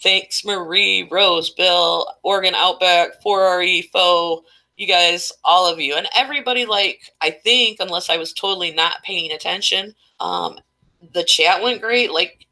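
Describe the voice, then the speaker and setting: A woman's voice: fairly low pitch, measured pace, pushed and bright, low-quality recording. A woman hosting a casual live stream, chatty and rambling, stuffed up and losing her voice. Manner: rambling, chatty, reading chat names aloud.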